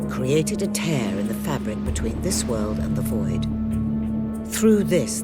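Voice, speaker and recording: A woman voicing an ancient seer who tells old history in a grave, measured way. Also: extremely low pitch. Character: ancient female seer, solemn and guiding. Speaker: narrator. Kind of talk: game cutscene narration. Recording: loud game score behind.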